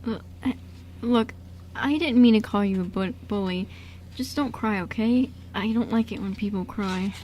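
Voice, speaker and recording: A woman's voice: medium pitch, normal speed, darkish tone, close to an everyday voice. A woman playing a bookish, shy six-year-old boy who stammers a little in an amateur radio drama. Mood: awkward, hesitant. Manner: hesitant, stammering, boyish. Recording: noisy amateur radio recording.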